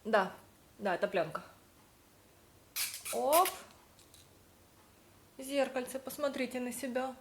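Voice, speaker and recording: A young woman chats through her day as a vlogger, her voice sitting a little high. She tells stories and acts out the dialogue she quotes. A faint hum of noise runs underneath. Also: animated, slow pace, gravelly, pauses often. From Russian-speaking, living in Spain. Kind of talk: casual vlog narration.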